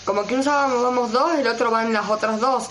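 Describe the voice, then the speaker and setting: A woman's voice: fairly high pitch, a little fast, a bit bright, slight background noise. A teenage girl with an Argentine accent, chatting casually as a radio show co-host. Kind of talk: casual chat among friends.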